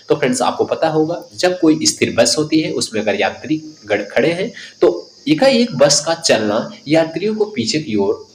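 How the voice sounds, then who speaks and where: A man's voice: medium pitch, slight background noise, poor audio. A man giving an instructive exam coaching lesson, reading out questions and explaining the answers directly to students.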